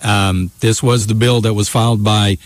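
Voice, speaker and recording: A man's voice: on the low side, fairly even intonation, moderate pace, dry recording. A man who works as a talk radio host, chatting casually as a studio guest.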